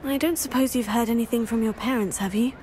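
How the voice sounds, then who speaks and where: A woman's voice: on the high side, fast, darkish tone, slight background noise, natural voice. An actress with a British accent playing a polite, self-assured teenage student witch in a video game, composed and well-spoken. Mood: friendly, composed. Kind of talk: dramatic video game voice acting.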